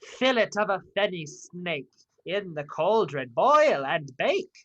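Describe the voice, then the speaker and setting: A woman playing an eerie witch in a radio play of Shakespeare, chanting verse slowly. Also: on the low side, very animated, dull audio, exaggerated voice. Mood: chanting, ominous. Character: eerie witch brewing a potion.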